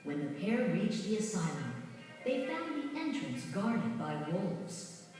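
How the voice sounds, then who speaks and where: A woman reading a scripted children's story in a measured, dramatic storytelling manner. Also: medium pitch, very animated, darkish tone. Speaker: storybook narrator. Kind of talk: scripted children's read-along narration. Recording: very echoey, distant, music behind. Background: music.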